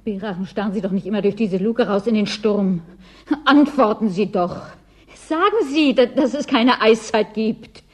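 An actress in a German radio play as a wistful, anxious clerk, chilled and clinging to hope. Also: female voice, mid-range voice, normal speed, close to an everyday voice. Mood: pleading, agitated.

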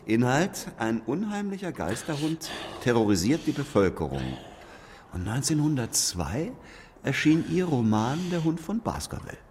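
An actor in a German radio drama playing a shrewd, sardonic escape artist, a needling skeptic with theatrical pauses. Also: male voice, mid-range voice, very animated, darkish tone, slight background noise, natural voice. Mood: accusing, sardonic.

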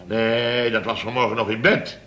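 An actor playing a blustering, muddled boss of a building firm in a radio comedy, rambling and repeating himself. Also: male voice, mid-range voice, flat delivery, normal speed, sharp tone, natural voice. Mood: grumbling. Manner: rambling, repetitive, tags phrases with "hè". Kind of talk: 1970s Dutch radio comedy acting.

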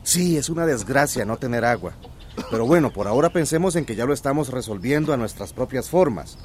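A man's voice: mid-range voice, lively intonation, fairly quick, a little mellow, close to an everyday voice. An actor playing a friendly, optimistic village man in a radio drama, colloquial and encouraging. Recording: faint background noise.

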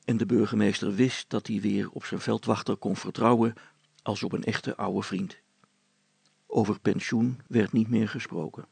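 A man narrating a children's story as an expressive storyteller. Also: on the low side, moderate pace, vocal fry, breathy edge, dry recording.

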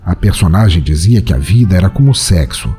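Husky Brazilian man narrating, expressive pitch. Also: brisk. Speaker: podcast host and narrator. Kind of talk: scripted dramatic narration. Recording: soft music behind.

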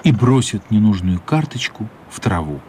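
A man's voice: fairly low pitch, moderate pace. A man narrating a radio play as scripted, dramatic storytelling. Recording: light steady background noise.

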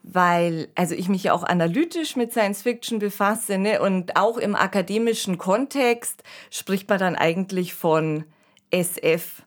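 A woman's voice: mid-range voice, unhurried. Analytical woman co-hosting a discussion. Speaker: podcast co-host. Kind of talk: casual discussion of science fiction radio plays.

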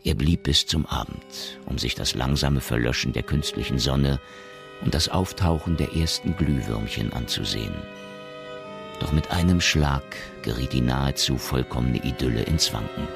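A man narrating a science fiction radio play in standard German, in a measured storytelling manner. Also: extremely low pitch, vocal fry, music in the background. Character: omniscient male narrator of a science fiction tale. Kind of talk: scripted audio drama narration.

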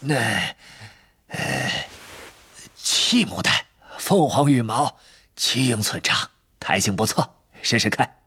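Eccentric old storybook wandmaker: husky and breathy, highish swooping pitch. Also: male voice, sing-song, fairly bright tone, no room sound, exaggerated voice. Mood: brisk, muttering. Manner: slow, drawn-out, swooping delivery with muttered asides. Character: eccentric old male wandmaker, keen and theatrical.

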